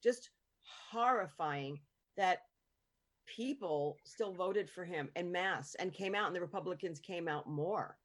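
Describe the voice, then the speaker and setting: A woman's voice: lowish voice, measured pace. A woman hosting a casual conversation, speaking earnestly and asking questions. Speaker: host.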